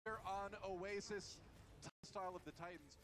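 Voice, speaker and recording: A man commentating an esports match live on a broadcast, giving brisk analysis. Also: high voice. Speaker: esports caster.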